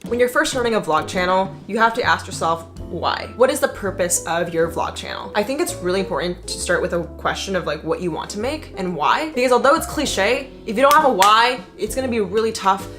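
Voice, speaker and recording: Young female vlogger, mid-pitched, chatty and slangy, emphatic tutorial delivery, faint music underneath. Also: lively intonation, brisk, hardly any pauses. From Indonesia. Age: young adult. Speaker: vlogger and content creator. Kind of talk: casual scripted-feel tutorial vlog to camera.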